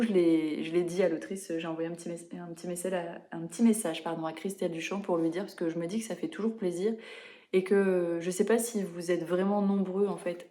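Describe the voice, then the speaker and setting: A young woman chatting casually about books she has read, with many fillers and quick self-corrections. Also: on the low side, dark tone. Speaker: book vlogger. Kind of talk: casual book review chat.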